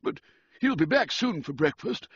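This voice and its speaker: A fussy old gentleman who owns a yacht stammers and fusses in a high, husky voice that swoops all over the place, as a storyteller puts him on. It sounds like an audiobook on a dull, lo-fi recording. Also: big pitch swings, moderate pace, no room sound. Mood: fussing. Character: flustered old male yacht owner, fussy host.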